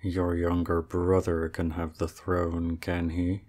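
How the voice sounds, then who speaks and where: Man playing low, aloof dragon in human form. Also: monotone, a little mellow. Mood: aloof, formal. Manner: formal, measured, cool and reserved. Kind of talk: ASMR roleplay acting.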